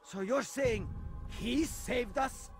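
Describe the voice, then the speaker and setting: An actor playing a disgruntled, incredulous hired caravan guard in a video game cutscene, complaining and exclaiming. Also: male voice, very high voice, takes it slowly, gravelly, soft background music, exaggerated voice. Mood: astonished.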